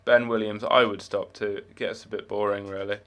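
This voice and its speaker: A man's voice: lowish voice, little pitch movement, brisk, a bit bright. A young man with no marked accent, chatting casually while live-streaming a game, rambling with many fillers and restarts.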